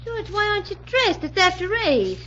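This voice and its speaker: High-voiced, restless young radio-drama wife. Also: female voice, sing-song, normal speed, pushed and bright, heavily acted. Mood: indignant. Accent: American. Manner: slangy, plaintive, insistent. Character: restless, discontented young wife craving excitement. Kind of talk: old-time radio crime drama acting. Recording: muffled, hissy old radio recording.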